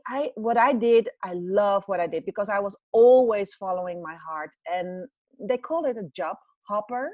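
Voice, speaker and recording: Forties woman, Dutch accent, lively rambling guest, dry close sound. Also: mid-range voice, dry recording. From Netherlands. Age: 40s. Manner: animated, chatty, many fillers and restarts. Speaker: podcast guest, business coach. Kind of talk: casual interview chat.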